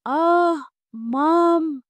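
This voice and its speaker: A woman's voice: very high-pitched, very slow, no room sound, voice pushed up, exaggerated voice. A woman voicing an ambitious, ashamed young resort employee, guarded and hesitant, in an audio drama. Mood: evasive. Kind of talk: Tagalog audio drama dialogue.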